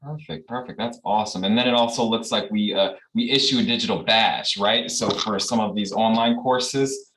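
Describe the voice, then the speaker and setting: A man with a lowish voice hosting a webinar over a video call. He talks casually and warmly, chuckling often and filling his sentences with loose fillers. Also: moderate pace, slight background noise, low-quality recording. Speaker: webinar host.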